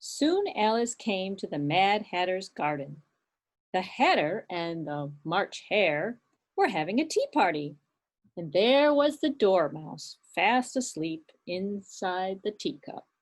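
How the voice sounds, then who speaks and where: A woman narrating a children's puppet show story as a librarian, gentle and clear. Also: mid-range voice, big pitch swings, takes it slowly.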